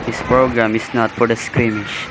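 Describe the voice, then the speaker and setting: A man's voice: mid-range voice, level tone, moderate pace, noisy background, close to an everyday voice. A man playing a sinister, irritable host introducing an old-time radio drama in a theatrical, pompous way. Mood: ominous.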